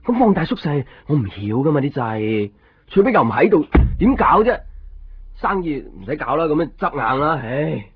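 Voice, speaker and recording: A man's voice: on the high side, very animated, normal speed. An actor playing an awkward, grumbling, easily flustered man in a radio drama. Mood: exasperated. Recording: dull 1990s radio recording, faint music.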